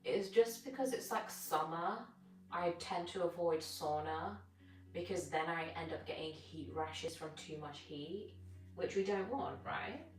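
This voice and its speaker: Low-voiced British woman, chatting informally. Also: a little slow. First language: Gujarati. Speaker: fitness live streamer. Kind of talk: casual chat with live viewers while stretching. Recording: roomy, distant mic with music behind. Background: music.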